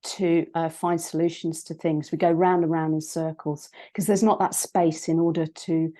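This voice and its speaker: A woman with a British accent, a psychologist and coach giving an online talk, croaky from a cold and hesitant, with many ums and restarts. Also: low voice, flat delivery, normal speed, mellow. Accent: British English.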